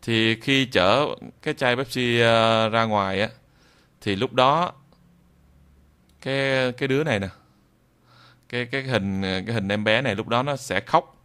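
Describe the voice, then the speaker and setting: A man giving an unscripted software tutorial in a hesitant, halting way, often repeating phrases. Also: medium pitch, smooth. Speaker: video tutorial presenter.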